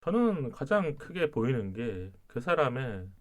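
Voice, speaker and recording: A man with a regional Korean dialect hosts a relaxed advice chat. He speaks thoughtfully, pausing mid-sentence. His pitch swings widely as he talks. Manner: calm, reflective, pauses mid-sentence. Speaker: podcast host.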